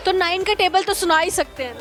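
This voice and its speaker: A woman's voice: very high voice, fairly quick. A woman with a Pakistani accent hosting a casual street interview, playfully teasing and echoing answers back as questions. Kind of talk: casual, bantering vox-pop interview. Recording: music and crowd chatter behind the voice.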